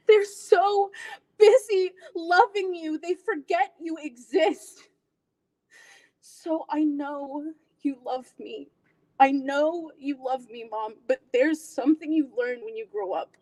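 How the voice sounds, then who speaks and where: A woman performing a dramatic monologue as a wounded, bitter grown daughter confronting her mother, emotional and pausing deliberately. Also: sits high, darkish tone, pitched above the performer's own voice. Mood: tearful, anguished. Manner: emotional, tearful, deliberate pauses.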